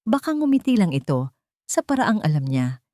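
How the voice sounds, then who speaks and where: A woman's voice: mid-range voice, big pitch swings, moderate pace, dark tone, dry recording, clean studio sound. A woman giving a dramatic scripted narration of a love story.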